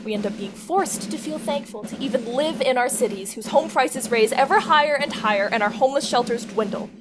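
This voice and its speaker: A woman's voice: high-pitched, a little fast, natural voice. A woman playing an impassioned activist, giving a grave, declamatory political speech for a propaganda ad. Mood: grave, aggrieved. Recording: distant voice under loud music.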